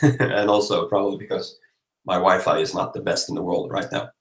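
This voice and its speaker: A man's voice: deep voice, fairly even intonation, fairly quick. A tired Swedish man with a Swedish accent, chatting casually on a livestream and answering comments in a rambling, playful way. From Sweden. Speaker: livestream host. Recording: roomy, echoey livestream microphone.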